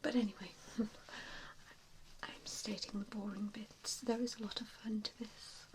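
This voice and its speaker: A woman performing a softly spoken ASMR roleplay as a gentle, reassuring vampire mentor, with a soothing, hesitant manner. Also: medium pitch, flat delivery, unhurried, low-quality recording.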